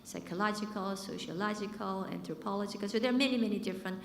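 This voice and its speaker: A woman in her fifties with a Korean accent mixed with American and British sounds gives a conference talk in an easy, chatty way. Her voice sits at a middle pitch, and she uses fillers and repeated words. The sound rings with the long reverb of a large hall. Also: fast, gravelly, slight background noise. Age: 50s. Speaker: business school dean and professor.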